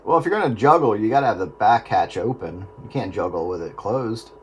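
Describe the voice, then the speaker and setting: A man's voice: fairly low pitch, animated, brisk. A middle-aged American man chatting casually and folksily over a two-way radio. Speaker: amateur radio operator in a round-table chat. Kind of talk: casual chat. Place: on-air radio contact. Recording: very noisy two-way radio transmission.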